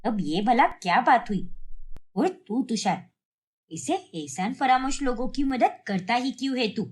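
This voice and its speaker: A woman voicing a short-tempered middle-aged mother in a cartoon family story, with a sharp, nagging, indignant manner. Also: fairly high pitch, animated, measured pace. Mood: angry, scolding.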